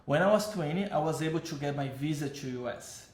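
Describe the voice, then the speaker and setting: A man's voice: on the high side, a little fast, a little mellow, roomy sound. Brazilian-accented man telling his story. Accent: Brazilian Portuguese. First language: Portuguese. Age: 30s. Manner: rambling, self-correcting, frequent "like" and "uh". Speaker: vlogger. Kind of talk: casual personal storytelling.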